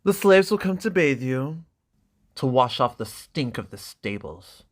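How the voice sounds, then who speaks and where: A man's voice: medium pitch, very animated, unhurried, pitched above the performer's own voice. A man voicing an arrogant, scheming young exiled prince who threatens his sister. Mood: menacing. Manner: haughty, threatening.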